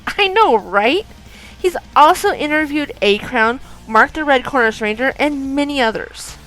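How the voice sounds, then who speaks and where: A woman's voice: high voice, animated, measured pace, a bit bright, poor audio. A woman delivering scripted promotional dialogue with enthusiasm. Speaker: podcast advertiser.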